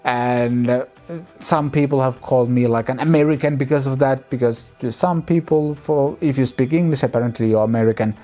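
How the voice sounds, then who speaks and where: A man talks through viewer comments in a relaxed, chatty vlog. His voice is mellow and warm, and quiet music plays underneath. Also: medium pitch, a little fast. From Finland. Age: 30s. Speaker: music channel host.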